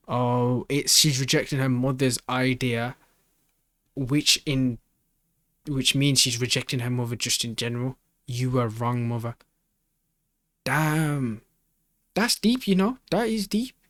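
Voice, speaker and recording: Male reaction host, medium pitch, casual thinking-aloud delivery full of slang, close and dry sound. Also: unhurried, pauses often, no echo.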